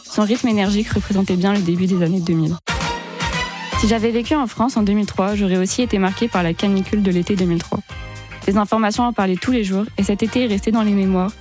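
Teen girl, reading script aloud, fast, clear music bed. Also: mid-range voice. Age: teens. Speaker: young radio columnist. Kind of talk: scripted read segment.

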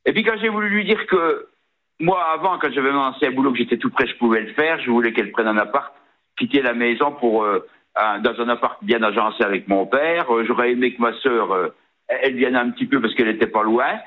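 A man's voice: medium pitch, brisk. A man in his sixties from the Vosges with a regional accent, calling in to a radio show and talking hesitantly, with many fillers and restarts. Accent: Vosges French. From Vosges, France. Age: 60s. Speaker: caller. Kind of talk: phone-in call to a radio show. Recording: tinny phone line.

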